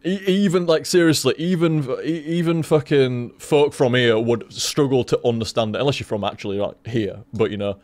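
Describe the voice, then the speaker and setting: A young man with a softened Yorkshire accent, rambling casually to his stream chat, swearing freely and laughing often. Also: medium pitch, moderate pace, hardly any pauses, no room sound. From Leeds, Yorkshire, England.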